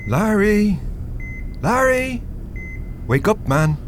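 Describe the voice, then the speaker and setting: A man's voice: high-pitched, sing-song, extremely slow, noisy background, heavily acted. A man with an Irish accent playing a wry, matter-of-fact spirit who guides the newly dead in a radio play. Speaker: radio drama actor.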